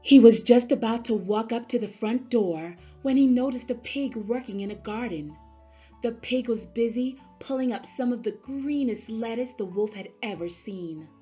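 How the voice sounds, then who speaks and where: A woman narrating a children's story aloud in an expressive storybook-reader style. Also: on the high side, normal speed, husky. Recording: slightly dull sound, music underneath. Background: music.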